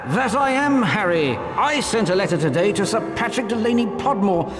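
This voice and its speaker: A courtly British ghost from a video game, speaks high with old-fashioned cheer. Long reverb trails the words, and music plays strongly behind. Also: male voice, animated, moderate pace. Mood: cheerful, genteel.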